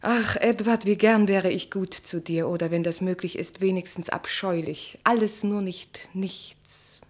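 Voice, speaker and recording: An actress playing a bitter, mocking estranged wife in a German literary radio play, with an articulate, cutting, theatrical delivery. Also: female voice, medium pitch, fairly even intonation, measured pace, lightly acted. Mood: wistful, disappointed.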